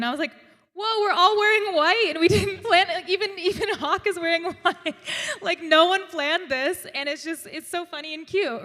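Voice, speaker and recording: A woman drag performer speaking on a panel at a university event, upbeat and animated. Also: on the high side. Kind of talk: panel discussion. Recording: reverberant hall.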